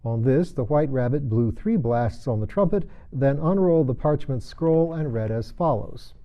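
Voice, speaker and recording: A man reading a children's story aloud as an expressive narrator, on a dull recording. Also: medium pitch, moderate pace. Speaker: audiobook reader.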